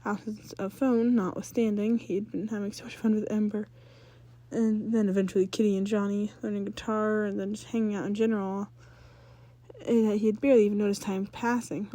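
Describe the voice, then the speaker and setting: A woman's voice: mid-range voice, mellow. A woman reading fan fiction aloud as a story narrator, sometimes stumbling and rereading phrases.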